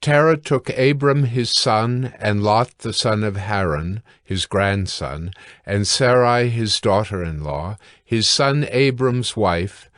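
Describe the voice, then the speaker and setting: A man reading scripture as a scripted narration, measured and formal. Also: fairly low pitch, dry recording, clean studio sound.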